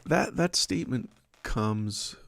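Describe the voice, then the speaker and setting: A man's voice: mid-range voice, lively intonation, takes it slowly, creaky, fairly bright tone, dry recording. A middle-aged man hosting a devotional livestream and podcast, talking in a casual, rambling, self-deprecating way with lots of restarts.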